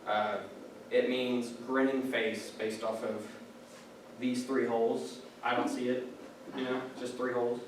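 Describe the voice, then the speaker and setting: A man's voice: mid-range voice, little pitch movement, measured pace. A young man giving a casual, chatty classroom presentation, full of fillers and asides. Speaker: student giving a class speech. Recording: echoey room, distant mic, background noise.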